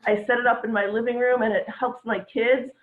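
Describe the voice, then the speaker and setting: A woman doing a stand-up set from home, with a dry, deadpan delivery. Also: a little high, fairly quick. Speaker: stand-up comedian. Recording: tinny echoey phone-like line.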